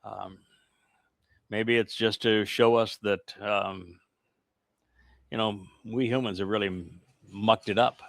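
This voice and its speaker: A man's voice: on the low side, steady tone, slow, creaky, pauses often, no room sound, studio quality. A man teaching scripture in an earnest, emphatic cadence, drifting into rambling, chuckling asides to the people with him. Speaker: religious teacher.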